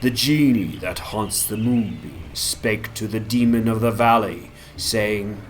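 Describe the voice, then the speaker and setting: A man narrating a gothic tale as a dramatic reading, with solemn, measured literary delivery. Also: lowish voice, a little hiss or hum. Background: music.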